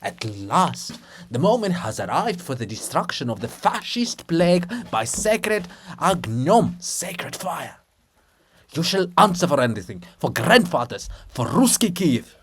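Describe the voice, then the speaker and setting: A man's voice: on the high side, big pitch swings, a little slow, a bit bright, a little hiss or hum, exaggerated voice. A man voicing a fanatical monument of a writer, ranting pompously and declaiming in a dramatic farce reading. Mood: zealous ranting.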